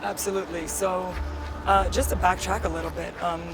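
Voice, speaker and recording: A woman from the Bahamas, a guest in an interview, telling stories in an animated way and jumping high when she acts out what she was thinking. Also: fairly quick, low-quality recording. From Nassau, Bahamas. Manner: animated storytelling, rising high when acting out her past thoughts. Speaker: interview guest, diversity director. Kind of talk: conversational interview. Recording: loud music playing behind the voice.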